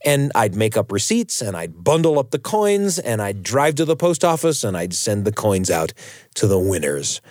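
American guy, radio host telling stories, really lively up and down delivery, close dry sound. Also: fairly low pitch, moderate pace, dry recording. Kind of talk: warm-up chat and personal storytelling narration.

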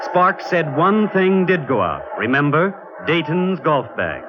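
An actor playing an earnest young gentleman detective who reasons things out logically in an old-time radio mystery. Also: on the high side, sing-song, unhurried. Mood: confident, explanatory. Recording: noisy, muffled vintage radio broadcast.

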